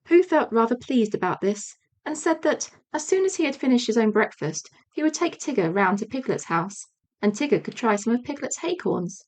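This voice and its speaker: A woman reading a children's storybook as an audiobook narrator, measured and expressive. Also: fairly high pitch. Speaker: audiobook reader.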